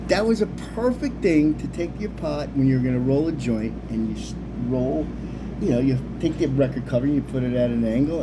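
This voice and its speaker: A middle-aged man with an American accent telling a casual, rambling story in loose, slangy, sweary talk. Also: a little high. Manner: animated slang-heavy talk with frequent swearing. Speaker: storyteller, video host. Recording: clearly noisy background hum.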